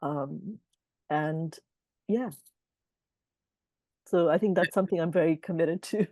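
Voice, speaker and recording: A middle-aged woman speaks in a low, mellow voice, answering questions with thoughtful, earnest reflection. She is a publisher talking over a video call. The sound is dry and close. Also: slow, no room sound.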